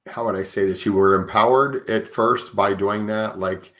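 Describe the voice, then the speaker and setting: Man, very low voice, podcast host, conversational interviewing, halting, word-searching delivery. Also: fairly even intonation, fairly bright tone. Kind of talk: conversational interviewing with personal commentary.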